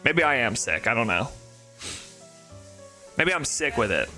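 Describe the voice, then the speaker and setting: A man with a nasal voice chatting casually on a live stream, rambling and excitable, with faint music behind him. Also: medium pitch, measured pace. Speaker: shiny-hunting live streamer.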